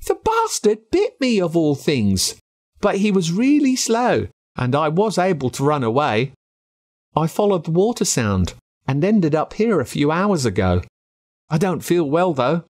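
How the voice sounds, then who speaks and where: A man voicing a frightened, sickly young boy with an Australian accent, in a strained, high boyish voice. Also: sing-song, unhurried, a little mellow. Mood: shaken, weak. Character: frightened sick young boy, tired and thirsty.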